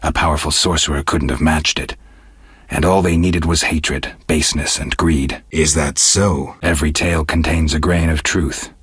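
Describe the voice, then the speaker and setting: A man playing a stoic, world-weary middle-aged monster hunter in a video game, speaking in a gravelly, husky voice with dry, laconic restraint. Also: very deep voice, steady tone, normal speed, a bit bright, dry recording, heavily acted. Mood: measured, guarded. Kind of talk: scripted video game character dialogue.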